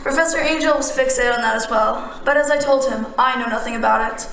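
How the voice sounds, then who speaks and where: A woman playing an aloof, dreamy young artist in a stage drama, speaking in measured, formal, cryptic philosophical lines. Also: a little high, a bit bright, very echoey, distant mic, slight background noise, low-quality recording. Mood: guarded, dismissive. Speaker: amateur stage actor. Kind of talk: radio-style stage drama.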